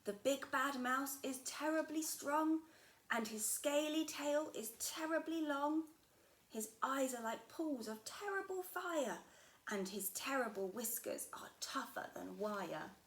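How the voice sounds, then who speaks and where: A woman voicing a big monster father who speaks slowly and deliberately. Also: sits high, expressive, voice pushed up. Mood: storytelling. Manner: slow and deliberate. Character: big male monster father, slow and gruff. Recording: echoey room, faint background noise.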